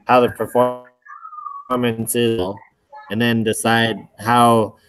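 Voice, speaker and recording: Slow, hesitant man in class talk. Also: medium pitch, level tone, low-quality recording. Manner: hesitant, many fillers and restarts, clears throat. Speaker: student in a discussion group. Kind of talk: casual class discussion.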